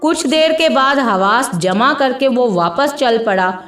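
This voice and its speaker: A woman reading a scripted moral story as a narrator, in a measured, dramatic storytelling manner. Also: a little high, lively intonation, fairly bright tone. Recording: echoey voice with music behind.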